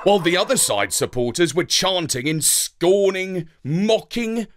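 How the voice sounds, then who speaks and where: A man with a British accent narrating a children's story in a theatrical, deliberate, expressive way. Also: sits high, a little hiss or hum.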